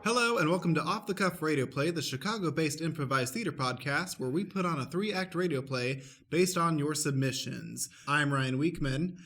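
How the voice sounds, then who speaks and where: A man's voice: fairly high pitch, normal speed, few pauses, a bit bright, soft background music. A man hosting a show as stage manager, introducing and explaining it to listeners in an announcer-like way. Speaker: podcast host and stage manager. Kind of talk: scripted-sounding show introduction, addressing listeners.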